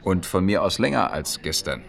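A man's voice: deep voice, sing-song, moderate pace, gravelly, a bit bright, heavily acted. An actor playing a casual, wry man in a naturalistic radio play, talking in a colloquial, offhand way. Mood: wry, confiding. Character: casual, wry, sporty man hiding unemployment. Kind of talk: naturalistic radio drama acting.

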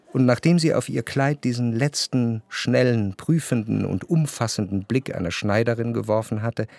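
An actor playing a reflective society narrator in a literary radio drama, with a measured, introspective way of talking. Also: male voice, lowish voice, gravelly, darkish tone, no echo. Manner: measured, elaborate, introspective phrasing. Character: reflective male narrator recalling high-society soirées.

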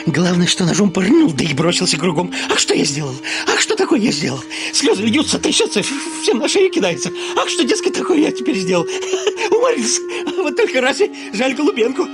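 An actor in a radio drama playing a drunk, servile middle-aged petty official, spiteful and needling, with obsequious hissing word endings and sly rambling talk. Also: male voice, very high-pitched, very animated, brisk, music in the background, exaggerated voice. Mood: gleeful, mocking hysteria. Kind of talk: Russian classic radio drama acting.

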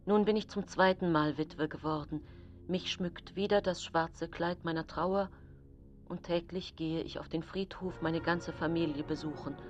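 A woman's voice: on the low side, steady tone, unhurried, lo-fi sound, soft background music, natural voice. An actress playing an embittered, pleading woman in a grim historical radio drama. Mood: penitent.

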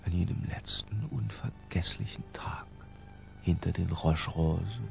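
An actor playing an obsessive, lyrical middle-aged intellectual who confesses in a hushed, deliberate literary radio drama narration. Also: male voice, deep voice, gravelly, faint music behind, heavily acted. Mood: feverish reverie. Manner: hushed, deliberate, breaking into near-whispers.

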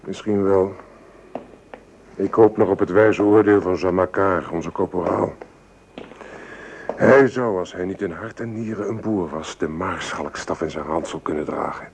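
An earnest young soldier in a radio play, really low voice, faint hiss. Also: male voice, unhurried, creaky, a little mellow. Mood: disillusioned. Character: loyal, disillusioned young French soldier. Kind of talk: radio drama acting.

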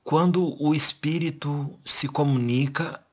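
A man's voice: a little high, slow pace. A man interviewing a medium, asking loose, chatty questions full of fillers and restarts. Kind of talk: casual spoken questions.

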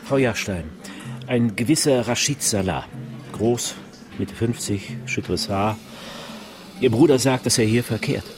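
An actor playing a blunt, matter-of-fact police detective in a naturalistic radio crime drama, terse and direct. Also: male voice, mid-range voice, a little slow, over music, close to an everyday voice. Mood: businesslike.

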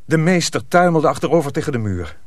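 A man's voice: on the high side, expressive, brisk, dry recording. A man narrating a radio drama as a storyteller, reading a dramatic script.

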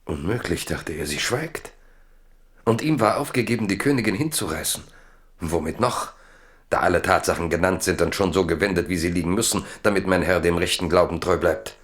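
Casting: male narrator, low register, literary radio drama, deliberate dramatic storytelling. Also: a bit bright.

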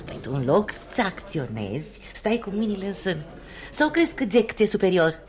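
A woman's voice: mid-range voice, very animated, lightly acted. An actress playing a frank, independent, worldly woman in a radio play, knowing and assertive in manner. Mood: prodding, advising. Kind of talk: radio theatre acting.

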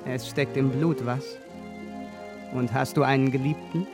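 Man as suave self-satisfied actor, flattering, theatrical, medium-pitched, faint music underneath, radio drama. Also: unhurried, close to an everyday voice. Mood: seductive, menacing. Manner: flattering, smug, stagey.

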